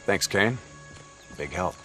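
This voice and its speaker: A man's voice: deep voice, animated, slow pace, over music. A man playing a cynical, short-tempered soldier in a video game cutscene, blunt and sardonic. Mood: sarcastic, tense.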